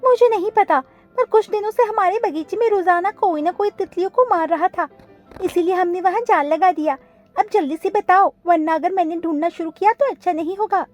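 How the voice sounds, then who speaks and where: A woman's voice: very high voice, fairly quick, darkish tone, faint music behind, voice pushed up. A woman voicing a determined, bossy female butterfly in a cartoon story, insistent and scolding. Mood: stern.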